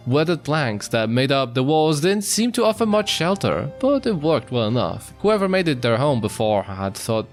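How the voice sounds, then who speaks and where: A man narrates a visual novel in a very animated, up-and-down delivery over background music. He reads the story casually and slips into jokey, mock-apologetic asides. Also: mid-range voice, normal speed, few pauses. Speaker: host reading a visual novel aloud for a video. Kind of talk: casual let's-play narration, reading story text aloud with joking asides. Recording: music behind the voice.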